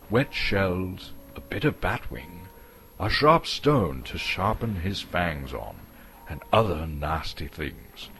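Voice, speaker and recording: Deep, dramatic male storyteller from Canada. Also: slight background noise. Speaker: narrator.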